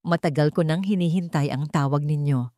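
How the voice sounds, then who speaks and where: A woman voicing an old male lawyer in a storybook tale, speaking in a measured, formal and respectful way. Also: low voice, warm tone, lightly acted. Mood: calm formal.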